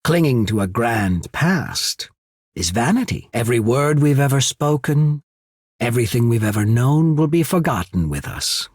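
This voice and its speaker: An actor playing a calm, calculating statesman and spymaster in a video game, with soft, formal, deliberate speech and cool ambition. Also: mid-range voice, breathy edge, dry recording, studio quality. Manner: measured, formal, deliberate diction. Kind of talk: scripted game dialogue.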